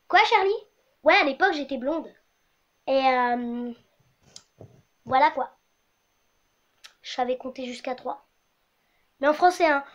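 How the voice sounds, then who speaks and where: Dim young blonde girl as a woman acts her, high-pitched, self-satisfied, halting, comic web sketch. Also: muffled recording.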